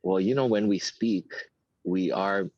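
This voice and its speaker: A man with a very deep, husky voice who talks in a halting, friendly way full of restarts. He comes through dull lo-fi video call audio. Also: dry recording. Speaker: conversation circle participant. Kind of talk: casual sharing.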